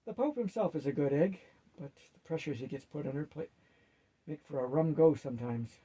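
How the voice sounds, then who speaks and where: A man's voice: on the high side, animated, a little fast, dark tone, husky, muffled recording. A man voicing a proper, wry knight who speaks formally with old-fashioned phrasing. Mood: wry concern.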